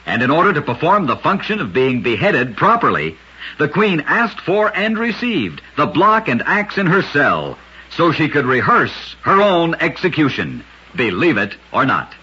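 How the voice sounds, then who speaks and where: Husky, animated man narrating vintage radio oddities. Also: on the high side, moderate pace, highs cut off.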